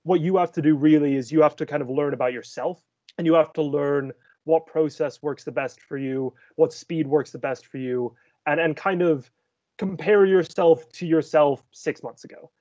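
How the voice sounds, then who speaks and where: A young man gives encouraging advice on a video call, his voice slightly high and mellow. The audio is dry and dull, from a webcam mic. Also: moderate pace, no room sound. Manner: encouraging, uses "kind of". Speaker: history student panelist. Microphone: laptop webcam on video call.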